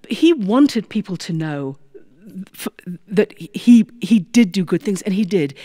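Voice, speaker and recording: Female storyteller, animated and expressive, emphatic delivery with wide pitch movement, close dry sound. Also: dry recording. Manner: expressive, dramatic pauses and emphatic repetition. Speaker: storyteller, former prison chaplain. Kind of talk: live-style personal storytelling with acted dialogue.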